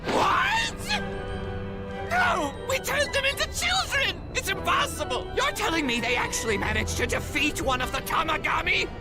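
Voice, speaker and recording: Shrill, petulant demon king. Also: very animated, normal speed, over loud music. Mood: furious. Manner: whiny, bullying outbursts.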